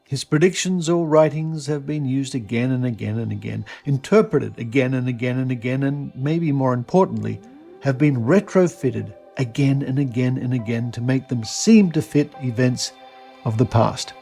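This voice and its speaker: A man hosting a podcast, reading scripted narration in a measured, emphatic way. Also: medium pitch, gravelly, dark tone, soft background music. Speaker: podcast host. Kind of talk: scripted documentary-style narration.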